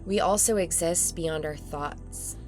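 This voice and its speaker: A woman with a low voice leads a guided meditation at a very slow pace. She is calm and soothing, leaving long, measured pauses between phrases. Music plays clearly behind her.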